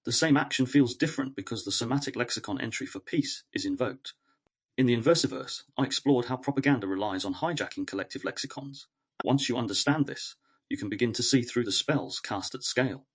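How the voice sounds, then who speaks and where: A man reading a scripted, reflective essay in a measured, earnest way with short, deliberate phrases. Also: mid-range voice, breathy edge. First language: English. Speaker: narrator of an educational essay series.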